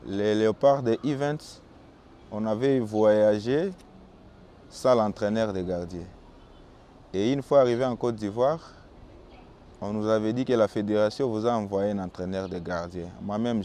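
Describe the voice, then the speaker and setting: Young man, Congolese French accent, native Swahili speaker, measured and calm, lowish voice, faint noise behind. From Bukavu, DR Congo. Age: young adult. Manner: calm and measured, with small restarts. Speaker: football goalkeeper. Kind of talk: answering interview questions, recounting his career.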